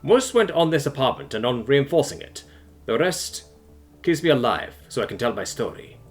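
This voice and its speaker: A man's voice: medium pitch, sing-song, moderate pace, faint music behind, lightly acted. A man voicing a doomed fugitive who confides his story with formal, grave resignation in an audiobook.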